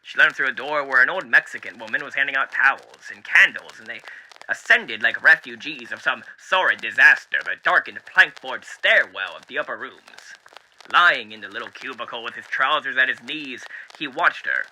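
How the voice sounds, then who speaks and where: A man's voice: mid-range voice, expressive, moderate pace, bright, forceful tone, poor audio. A man voicing a genteel, eerily cheery demon radio host with showy, mannered delivery, in the style of 1930s radio acting. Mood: storytelling.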